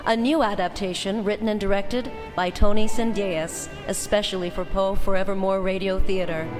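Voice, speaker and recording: A woman announcer reading scripted closing credits for a radio drama. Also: mid-range voice. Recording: music and crowd behind voice. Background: music and audience.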